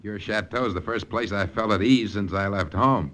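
A man's voice: fairly low pitch, moderate pace, a bit bright, natural voice. A man playing an affable, courteous American corporal with an American accent in an old radio drama. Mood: affable, apologetic. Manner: courteous, ingratiating. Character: affable, polite young male American corporal. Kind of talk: 1940s-50s radio drama acting. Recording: noisy old radio recording.

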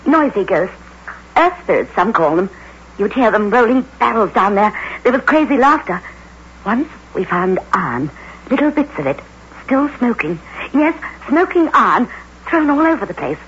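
A woman's voice: on the high side, very animated, normal speed, fairly bright tone. A woman acting an anxious, haunted innkeeper's wife in a dramatic, fretful, old radio drama style. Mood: brooding, haunted. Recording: noisy, muffled old radio recording.

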